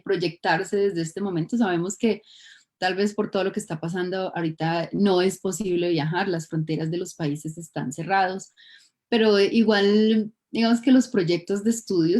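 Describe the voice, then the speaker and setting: A woman hosting an online interview, calm and explanatory as she introduces her guest. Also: on the low side. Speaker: host.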